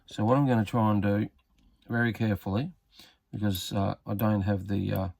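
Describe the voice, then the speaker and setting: Australian male, casual how-to presenter, creaky voice, halting matter-of-fact delivery, close dry sound. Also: fairly low pitch, flat delivery, darkish tone, no echo. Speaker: radio repair hobbyist presenter. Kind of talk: casual hands-on tutorial commentary.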